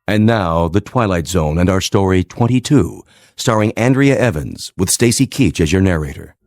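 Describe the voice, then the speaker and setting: A man's voice: deep voice, lively intonation, moderate pace, a little mellow, faint music behind. A man reading a scripted announcement for a radio drama.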